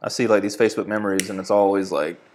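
A man's voice: lowish voice, steady tone, quick pace, a little mellow, slight background noise. A man in his thirties from New England, chatting easily as a podcast guest, telling stories with plenty of filler words. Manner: easygoing storytelling with frequent fillers. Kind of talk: casual chat.

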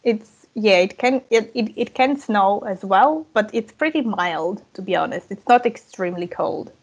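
A woman, a language coach talking as a podcast guest in a relaxed, chatty way with frequent laughs and fillers. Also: mid-range voice, normal speed, husky, rough recording. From Ukraine. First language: Russian and Ukrainian. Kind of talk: casual interview chat.

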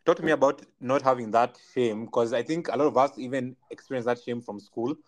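A man hosts a relaxed podcast chat. He jokes with his guest and keeps digging with curious questions. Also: mid-range voice, brisk. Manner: teasing, probing questions. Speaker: podcast host. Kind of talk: casual interview.

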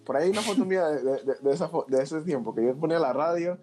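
Higher-pitched young Panamanian man, podcast host. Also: racing, darkish tone, faint music behind. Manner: slangy, joking. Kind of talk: casual chat with friends.

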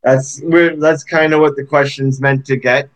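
A man's voice: medium pitch, little pitch movement, moderate pace. A man interviewing a rapper, asking loose, rambling questions in an easygoing way.

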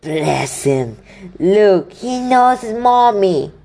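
A reader voicing a big, soft-hearted male gamekeeper who dotes on his pet, in a slow, pitched-up voice during a read-aloud of a children's novel. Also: very animated, creaky, heavily acted. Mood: doting.

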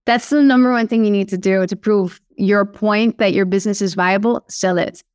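A woman's voice: medium pitch, steady tone, moderate pace, darkish tone, no room sound. Dutch-accented woman, dry close sound. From Netherlands. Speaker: guest, startup CEO. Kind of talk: podcast interview.

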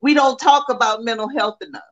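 A woman hosting an interview, warmly and eagerly asking questions with many fillers. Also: fairly high pitch, brisk, low-quality recording. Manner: warm, eager, filler-heavy. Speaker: interviewer, host.

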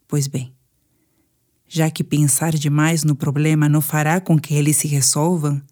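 A woman's voice: deep voice, dark tone. A woman with a Brazilian Portuguese accent guides a sleep meditation in a soothing, instructive manner.

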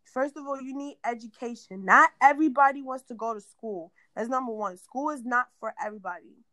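A young woman from New York with a raspy voice after an asthma attack, hosting a casual podcast chat in a blunt, cursing rant. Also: a little high, a little fast, sharp tone, no echo. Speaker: podcast host.

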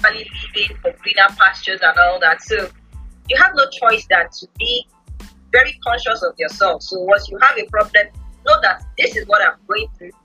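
A woman's voice: mid-range voice, big pitch swings, moderate pace, pushed and bright, husky, lo-fi sound, soft background music. A woman, a podcast guest giving instructive advice and often checking with tag questions.

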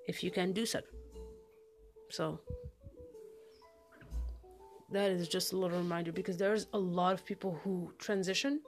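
A woman with a somewhat masculine voice giving casual, emphatic advice in a monologue, repeating phrases to drive her points home. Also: mid-range voice. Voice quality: self-described masculine voice. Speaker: vlogger giving personal advice.

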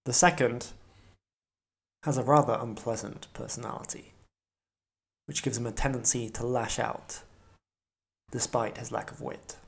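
A man's voice: medium pitch, steady tone, measured pace, gravelly, long pauses, breathy edge. A man hosting a role-playing podcast as game master, narrating the scene and slipping in dry, wry quips.

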